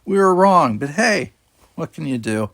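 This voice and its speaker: A man playing a cocky teenage alien boy in an audiobook, a breezy, defiant fast-talker bluffing his way along. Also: fairly high pitch, lively intonation, creaky, fairly bright tone, no echo, pitched above the performer's own voice, exaggerated voice. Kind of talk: audiobook character voice.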